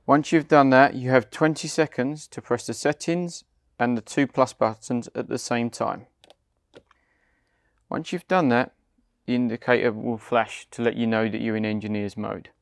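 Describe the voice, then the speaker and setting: British man, medium pitch, creaky voice, dry close sound, measured instructional narration. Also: steady tone, no echo. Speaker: product demonstrator. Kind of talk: scripted step-by-step instructional narration.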